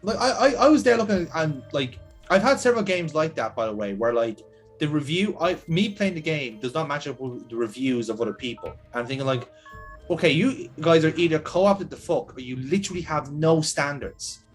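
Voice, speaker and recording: A man's voice: fairly high pitch, normal speed, soft background music. A man co-hosting a let's play, chatting casually with sarcasm and frequent swearing. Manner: animated, sarcastic, swears a lot. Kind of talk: casual gaming commentary.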